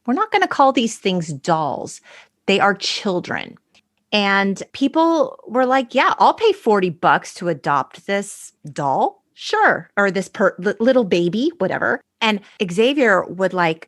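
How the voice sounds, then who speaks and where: Animated woman podcast host, dry close sound. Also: medium pitch, no echo. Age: 40s. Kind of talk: casual conversational storytelling.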